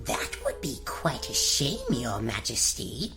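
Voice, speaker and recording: A woman playing a sly evil fairy disguised as an old woman in a comic audio fairy tale, with a drawn-out, mock-courteous menace. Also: a bass voice, big pitch swings, music in the background, heavily acted. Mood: sly, coaxing.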